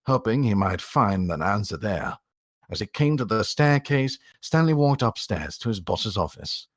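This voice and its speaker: Husky man reading with very animated pitch. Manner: theatrical, expressive delivery. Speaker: story reader and voice actor. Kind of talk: dramatic storytelling narration.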